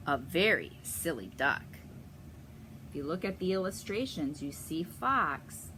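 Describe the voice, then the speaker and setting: A woman reading a picture book aloud to children in a teacherly way, pausing to explain and ask them questions. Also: fairly low pitch, bright, forceful tone, a little hiss or hum. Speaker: story reader for children.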